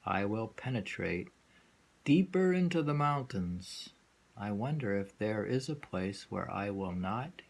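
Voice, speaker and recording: A man giving an informal poetry reading with commentary, musing haltingly and repeating phrases as he explains. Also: mid-range voice, vocal fry, breathy edge.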